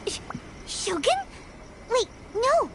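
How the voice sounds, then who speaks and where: A woman voicing a tiny, childlike female fairy companion in a video game, squeaky, bubbly, chatty and excitable. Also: slow pace, full character voice. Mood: startled, curious. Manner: bubbly, exclamatory, talks of self in third person. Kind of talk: video game character acting.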